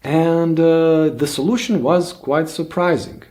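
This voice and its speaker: A man whose first language is Bosnian hosts his own channel, musing aloud in a slow, unhurried way. His voice is dark and mellow, with frequent "uh"s and restarted sentences as he thinks things through. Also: fairly high pitch, fairly even intonation. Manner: slow, thoughtful, many fillers and restarts. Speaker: channel host. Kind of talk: reflective solo monologue.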